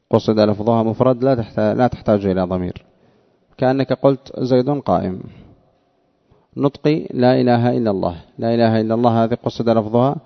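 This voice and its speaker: A man's voice: mid-range voice, vocal fry. A man teaching grammar in a lecture, explaining a text line by line in a didactic way and repeating phrases for emphasis. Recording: dull lo-fi sound.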